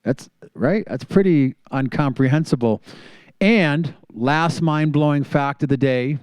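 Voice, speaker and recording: A man's voice: a little high, a little slow, darkish tone, smooth, dry recording. A man hosting a community talk show, eagerly sharing fun facts before sending the show to a segment. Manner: enthusiastic, shares fun facts with a chuckle. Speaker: host. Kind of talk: casual radio show hosting.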